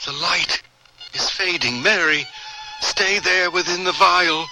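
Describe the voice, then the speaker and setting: An actor playing a desperate grieving husband pleading with his dead wife, slow and anguished, in old-time radio drama style. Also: sits high, sharp tone, faint music behind, full character voice, low-quality recording.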